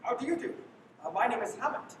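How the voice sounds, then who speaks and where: Animated, echoey wary fugitive played by a woman. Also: a little high, fast. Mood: earnest, uneasy. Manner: hesitant, earnest. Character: wary young man on the run, wrongly accused. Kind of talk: live radio play acting. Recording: reverberant hall, distant mic, clear background noise.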